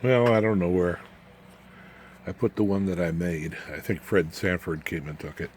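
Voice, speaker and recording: Male hobbyist presenter, deep register, folksy and rambling, informal hands-on explainer, some steady noise behind the voice. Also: normal speed. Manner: folksy, rambling, jokey asides. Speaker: amateur radio electronics video host. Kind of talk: casual off-the-cuff technical demonstration.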